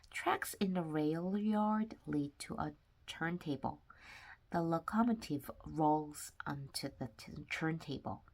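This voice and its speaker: A woman reading a children's nonfiction book aloud with simple, deliberate phrasing, sometimes stumbling over words. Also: deep voice. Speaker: read-aloud narrator of children's books.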